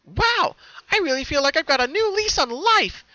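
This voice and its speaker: A bouncy young girl pony from a cartoon, gushing with singsong cheer and a sinister edge. The voice is squeaky high and very bright, swinging wildly. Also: very animated, normal speed, no echo, heavily acted, poor audio. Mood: ecstatic.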